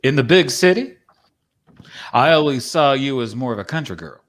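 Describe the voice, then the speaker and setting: A man's voice: mid-range voice, expressive, moderate pace, a bit bright, close to an everyday voice. A man playing a laconic, wry cowboy in a dramatic script reading.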